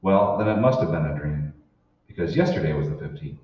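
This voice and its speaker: A man's voice: a bass voice, brisk. An actor playing a bewildered, irritable married office man in an old-time sci-fi radio drama, speaking hesitantly and in exclamations. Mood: shaken, confused. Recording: roomy, muffled, distant stage recording with noise.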